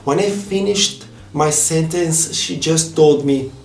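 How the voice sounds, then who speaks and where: A man's voice: fairly high pitch, slow pace, gravelly, a little mellow, roomy sound. Brazilian-accented man, earnest vlog talk. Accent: Brazilian Portuguese. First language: Portuguese. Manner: earnest and heartfelt, slightly non-native phrasing. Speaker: vlogger. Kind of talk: personal vlog monologue.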